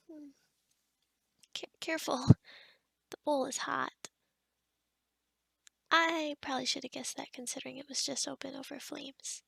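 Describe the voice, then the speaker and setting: A woman playing a kind, sheltered young forest witch in a soft-spoken, half-whispered ASMR roleplay, gentle and hesitant with small stammers. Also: high voice, dry recording, exaggerated voice. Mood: flustered. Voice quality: breathy, whispery.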